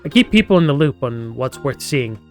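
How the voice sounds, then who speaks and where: A man's voice: mid-range voice, sing-song, fairly quick, a little mellow, faint music behind. A man voicing a teasing, doting mother of a teenager in a casual manner. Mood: amused, friendly.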